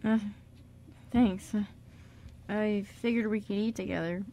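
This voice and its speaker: A woman acting a shy, caring, awkward man in a radio drama, speaking haltingly. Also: mid-range voice, steady tone, warm tone, slight background noise, natural voice. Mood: shyly eager. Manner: hesitant, halting, with little laughs.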